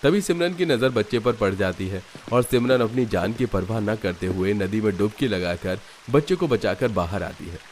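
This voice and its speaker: A man's voice: lowish voice, lively intonation, moderate pace, hardly any pauses, a little mellow, a little hiss or hum. A man narrating a scripted family story as a storyteller.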